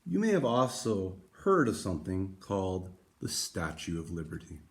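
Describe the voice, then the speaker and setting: Low-pitched man reading aloud to children. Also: wildly swooping pitch. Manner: clear, deliberate storytelling addressed to young listeners. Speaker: read-aloud host for schoolchildren. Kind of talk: expressive children's picture book read-aloud.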